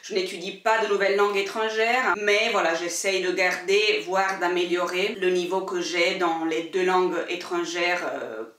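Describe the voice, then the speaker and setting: A woman's voice: fairly low pitch, steady tone, moderate pace, few pauses, fairly bright tone, echoey room, far from the mic. A woman whose first language is Italian, speaking French as she answers viewer questions on her book channel, talking thoughtfully with frequent hesitations and repeated words. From Italy.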